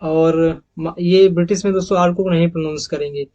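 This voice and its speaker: An Indian man with a slightly high voice teaches English pronunciation over a slide deck, addressing viewers as friends. He says each example word several times in a row. The recording is dull and lo-fi. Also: fairly even intonation, mellow. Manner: repeats model words slowly, addresses viewers as friends. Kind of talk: phonetics lesson over slides.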